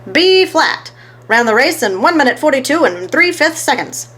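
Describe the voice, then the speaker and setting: Woman acting a brash, high-pitched greedy gambler man. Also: big pitch swings, moderate pace, fairly bright tone, a little hiss or hum. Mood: nervous anticipation. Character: brash, greedy male racetrack gambler, loud and excited. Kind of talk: children's storybook character voice.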